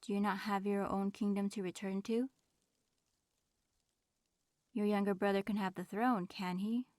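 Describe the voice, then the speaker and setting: A woman playing a proud, reserved dragon in human form, speaking formally and with measured aloofness in an audio roleplay. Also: mid-range voice, fairly even intonation, darkish tone, no room sound, clean studio sound, lightly acted. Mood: aloof, reserved. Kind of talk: audio roleplay acting, addressing the listener.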